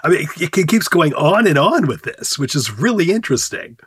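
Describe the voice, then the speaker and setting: A man's voice: fairly high pitch, big pitch swings, a little fast, fairly bright tone, a little hiss or hum. A man co-hosting a casual talk show about words, speaking with enthusiasm and rambling through restarts. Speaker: podcast co-host.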